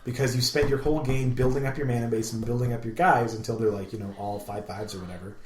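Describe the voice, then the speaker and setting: A man's voice: medium pitch, steady tone, fast, darkish tone, slight background noise. A man's mid-range voice, picked up with plenty of room echo. He chats casually as a podcast co-host, enthusiastic and inclined to ramble as he works through his thoughts. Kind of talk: casual chat. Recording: roomy, echoey room sound.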